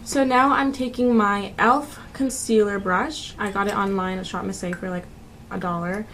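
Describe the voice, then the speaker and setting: A woman's voice: mid-range voice, fairly even intonation, normal speed, background noise. A woman in her twenties narrating a makeup tutorial in a casual, informal, conversational way as a beauty vlogger. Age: 20s. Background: background music.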